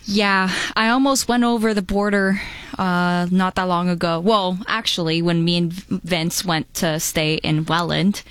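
A young woman whose first language is Romanian chats on a radio show. She speaks in a slightly low voice, relaxed and a little halting, and she laughs easily. The sound is close and dry. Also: clear, resonant tone, no room sound. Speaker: radio promotions assistant and co-host. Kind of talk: casual on-air chat.

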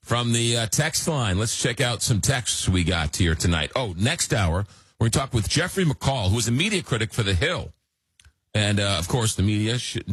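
A man's voice: on the low side, a bit bright, slightly rough, no room sound. A man hosting a late-night radio talk show, rambling casually with many fillers and restarts, just getting over a cold, in a studio. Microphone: studio microphone.

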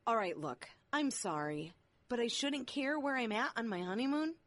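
A blunt, sarcastic young bride, played by a woman in an audio drama, her pitch swinging widely as she complains. She sounds fed up and quick to grumble. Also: animated, a bit bright, dry recording. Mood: exasperated. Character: exasperated young American bride on honeymoon.

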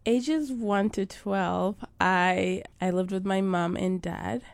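A woman from Uganda recounts her childhood and faith in a measured, slow way. Her voice has a gravelly edge and sits a little low. The sound is dry and close. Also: steady tone, no echo. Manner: slow, thoughtful storytelling with fillers. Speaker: guest. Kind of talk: interview about life and faith.

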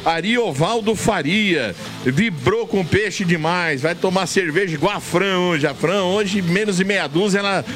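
A man's voice: sits high, measured pace, a bit bright, faint music behind. A Brazilian man co-presenting a sports radio show, reading out listener messages in an informal, bantering way. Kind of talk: casual sports radio chat.

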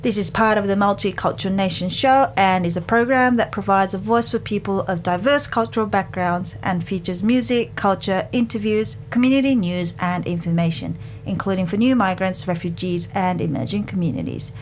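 A woman with a Japanese accent hosting a community radio show in a studio, chatty and quick to laugh. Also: mid-range voice, slight background noise. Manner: chatty, laughs often, switches to rapid Japanese. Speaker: radio host.

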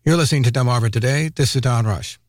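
A man's voice: mid-range voice, quick pace, dry recording, studio quality. Man introducing a radio news segment. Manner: even, formal announcing. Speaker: radio host.

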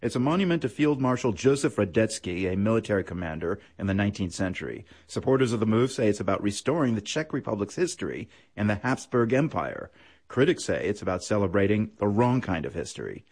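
A man presenting a news program, introducing a report in a measured, formal delivery. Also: on the low side, very animated, a little mellow, husky.

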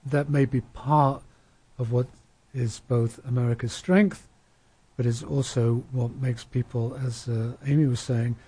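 A man's voice: mid-range voice, warm tone, breathy edge, no echo. A man speaking on a discussion panel, thoughtful and anecdotal, with frequent restarts. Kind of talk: reflective panel talk.

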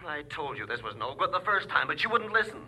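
An actor playing a fretful, agitated young ranger office deputy in a radio adventure drama. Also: male voice, fairly high pitch, big pitch swings, normal speed, pushed and bright, full character voice, poor audio. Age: young adult. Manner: agitated, fussy, blurting.

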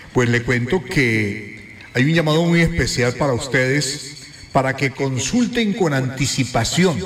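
A man hosting a morning radio show, chatting and giving listeners advice in a playful, animated way. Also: mid-range voice, reverberant. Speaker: radio host.

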